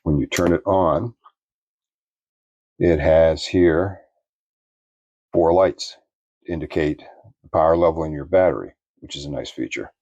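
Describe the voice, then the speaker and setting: A man giving an unscripted product review in a plainspoken, measured, explanatory way. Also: very deep voice, a little mellow, dry recording, dull audio.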